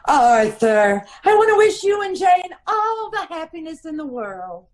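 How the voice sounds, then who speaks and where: A witty, teasing wife from an old-time radio detective comedy played by a woman. Her voice is high and her banter arch and knowing. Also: big pitch swings, measured pace, full character voice.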